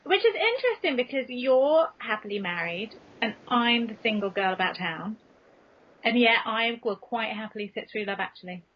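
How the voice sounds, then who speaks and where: Female interview guest, English accent, raised pitch, candid and self-deprecating casual chat, lo-fi podcast sound. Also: expressive, normal speed. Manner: frank, chatty, self-deprecating. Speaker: guest author being interviewed. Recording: dull, lo-fi early podcast recording.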